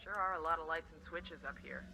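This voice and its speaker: A man's voice: a little high, steady tone, fast talker, poor audio. A man voicing an easygoing, observant special forces soldier in a video game, talking conversationally. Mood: relaxed, watchful. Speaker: soldier squadmate. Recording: loud vehicle noise behind.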